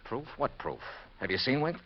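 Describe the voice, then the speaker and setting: An actor playing a brisk, wry, impatient insurance investigator, clipped and dry, in the style of 1950s radio detective drama and narration. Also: male voice, mid-range voice, big pitch swings. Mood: skeptical. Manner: clipped, dry, exasperated with others. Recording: muffled old radio recording. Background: music.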